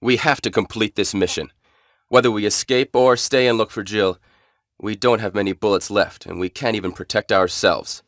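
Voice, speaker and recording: A man's voice: lowish voice, steady tone, moderate pace, fairly bright tone, no room sound, studio quality. An actor playing a cool, authoritative male squad commander in a stilted nineties video game. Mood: grave, commanding.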